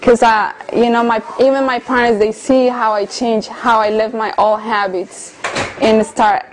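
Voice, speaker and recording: A woman of around thirty from Colombia, speaking English, earnestly telling her personal story as an interviewee with soft hesitations. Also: a little high, level tone, a little slow, a little hiss or hum, rough recording. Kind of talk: personal testimony in conversation.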